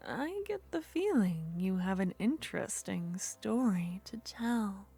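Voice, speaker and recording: Woman doing a slow-whispering coaxing witch. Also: medium pitch, very animated, vocal fry, dark tone, breathy edge, full character voice. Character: flirtatious curious female witch, secretive. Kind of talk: ASMR roleplay.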